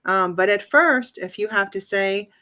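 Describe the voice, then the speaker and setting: A woman English teacher hosting a casual interview, explaining in a relaxed way with many pauses and ums. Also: mid-range voice.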